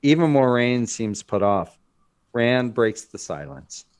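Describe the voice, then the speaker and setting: A man's voice: medium pitch, big pitch swings, slow, clean tone. A man narrating stage directions as scripted narration in a screenplay table read.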